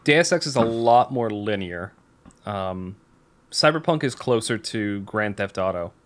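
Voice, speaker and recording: A man with a fairly low voice chats as a podcast co-host. He speaks informally and bluntly, giving his opinions in loose, unscripted conversation. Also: lively intonation, measured pace. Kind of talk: casual chat.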